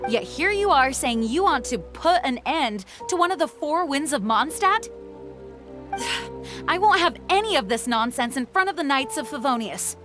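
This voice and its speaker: A woman playing a dutiful young knight commander in a video game, speaking in a firm, measured, formal way. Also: sits high, lively intonation, over music. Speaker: acting leader of knights. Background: game score.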